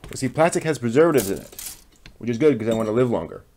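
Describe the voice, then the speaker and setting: A man's voice: mid-range voice, sing-song, fast, a little mellow, a little hiss or hum. A man hosting a casual hobby stream, chatting and talking to himself as he works with his hands. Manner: talks to himself while working. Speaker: host. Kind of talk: casual hobby livestream chat while building a model kit.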